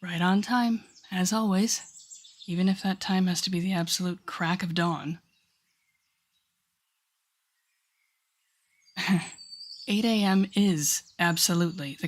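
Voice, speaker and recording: A worldly villainess with a lowish voice , acted by a woman speaks straight to her rookie hero. She is wry and teasing, a telepath mentor in an intimate audio drama, and talks in an easy conversational way. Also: lightly acted. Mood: teasing, grumbling. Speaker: audio roleplay performer. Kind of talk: intimate second-person audio roleplay acting.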